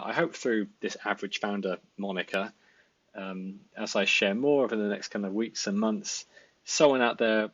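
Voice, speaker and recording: A Welsh man in his thirties rambles through a casual self-introduction, full of ums and restarts. His voice sits fairly low. The sound is dry and a little dull, like a headset mic at a desk. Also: fairly bright tone, no room sound. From Wales. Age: 30s. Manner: rambling, many fillers and restarts. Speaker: designer and part-time founder. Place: indoor home room at a desk.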